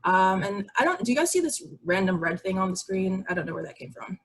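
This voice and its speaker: A woman's voice: medium pitch, sing-song, a little fast, husky. A young woman giving an informal, explanatory educational presentation in a conversational way over a video call. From Barbados. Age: young adult. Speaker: psychiatry resident giving a presentation. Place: online video meeting with shared slides.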